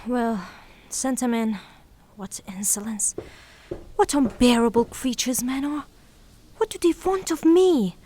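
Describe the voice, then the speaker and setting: An actress playing a proud, melodramatic grieving widow in a theatrical audio drama, speaking in a formal, haughty way. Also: female voice, on the high side, a little slow, warm tone, slight background noise, natural voice. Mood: indignant, weary.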